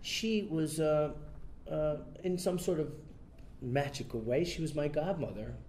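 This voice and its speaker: A man being interviewed, talking in a relaxed way with many fillers and restarts. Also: on the high side, measured pace, a little hiss or hum. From United States. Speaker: interview guest, son of a famous bandleader. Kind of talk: casual interview.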